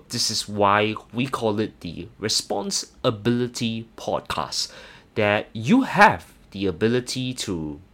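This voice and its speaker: A young man with a Singaporean accent hosting a solo personal finance podcast, earnest and measured, with occasional hesitant fillers. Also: mid-range voice, a bit bright. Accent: Singaporean English. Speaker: podcast host.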